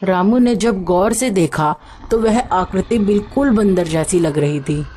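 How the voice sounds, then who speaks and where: A woman's voice: mid-range voice, measured pace, mellow, slight background noise. A woman reading a scripted story as its narrator.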